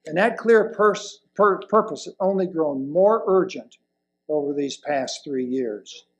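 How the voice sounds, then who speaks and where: Older man, creaky voice, slow sermon. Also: fairly high pitch, low-quality recording. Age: older, around 70s or 80s. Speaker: lay presenter reading a sermon. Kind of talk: sermon read from a pulpit. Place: indoors at a wooden lectern in a church hall. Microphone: lapel mic.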